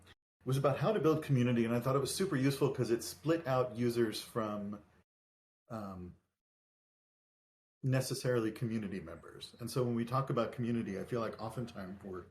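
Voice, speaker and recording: A man's voice: mid-range voice, a little mellow, slight background noise. A man moderating a workshop discussion, chatting in a friendly way and agreeing often. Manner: friendly, chatty, with quick agreeing back-channel sounds. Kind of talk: casual panel discussion.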